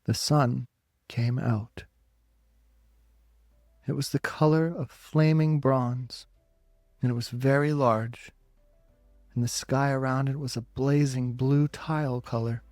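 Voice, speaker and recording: A man narrating a literary story dramatically, in deliberate, separate phrases. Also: mid-range voice, steady tone, darkish tone, no echo, studio quality. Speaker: audiobook narrator.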